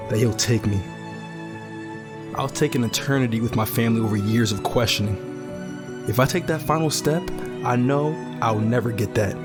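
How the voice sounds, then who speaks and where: A man's voice: medium pitch, natural voice. Male actor as grieving teen survivor, audio drama; somber, bitter, medium register, scored with music. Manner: brooding, earnest, somber. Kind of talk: post-apocalyptic audio drama monologue and dialogue.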